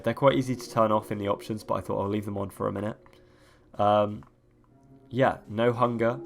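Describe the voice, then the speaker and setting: Sniffly, croaky young man over game music. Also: on the low side. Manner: rambling, many ums and restarts. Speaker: let's-play host. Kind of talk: casual gameplay commentary.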